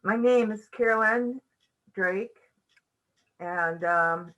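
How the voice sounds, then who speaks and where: A woman's voice: mid-range voice, takes it slowly, a bit bright, lo-fi sound. A 63-year-old woman from Michigan with an American accent, introducing herself informally. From Michigan, United States. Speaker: scout troop member.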